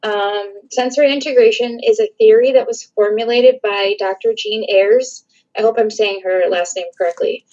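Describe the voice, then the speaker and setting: A woman's voice: medium pitch, a little mellow, lo-fi sound. Young woman reading a class presentation. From northern suburbs of Chicago. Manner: reads steadily with frequent ums and small restarts. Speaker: student presenter. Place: small room indoors. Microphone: laptop webcam on a video call.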